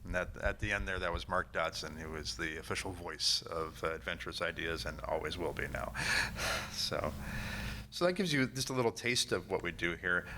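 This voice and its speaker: A middle-aged or older man giving an informal, halting convention presentation as a producer. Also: deep voice, noisy background. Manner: halting, unscripted delivery. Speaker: panel presenter, audio drama producer. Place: convention panel room.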